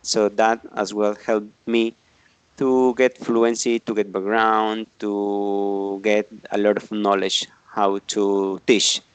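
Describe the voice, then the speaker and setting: A man in his forties with a Colombian accent, a native Spanish speaker, introducing himself to his English class as their teacher in a measured, careful way with pauses. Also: lowish voice, flat delivery, clean tone, no room sound, low-quality recording.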